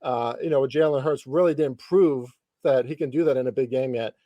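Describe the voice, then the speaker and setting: Mellow man in his thirties hosting casually. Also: mid-range voice, fairly quick, no echo, highs cut off. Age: 30s. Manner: informal, lightly profane slang. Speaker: podcast host interviewing a guest. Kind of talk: casual sports podcast chat.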